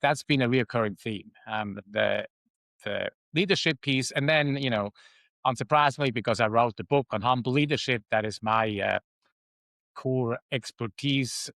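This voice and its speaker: A man in his fifties speaks with a Swiss accent, thinking aloud and pausing on ums and uhs. His voice sits in a middle pitch. The recording is dry and close. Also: creaky, fairly bright tone, no echo. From Switzerland. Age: 50s. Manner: reflective, with frequent ums and uhs. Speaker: guest. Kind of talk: casual interview talk.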